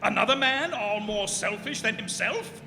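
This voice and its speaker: A man's voice: sits high, animated, normal speed, bright, forceful tone, full character voice. A man playing a proud, mad, tyrannical sea captain who defies heaven in grand archaic declamation, in old-time radio drama style. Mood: scornful. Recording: hall reverb, faint background noise.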